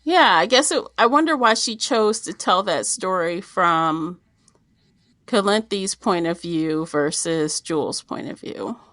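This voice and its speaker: A woman's voice: on the low side, animated, slow, a bit bright, dry recording, clean studio sound. A woman co-hosting a casual book discussion, talking expressively and slipping into little imagined quotes. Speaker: podcast co-host discussing a book.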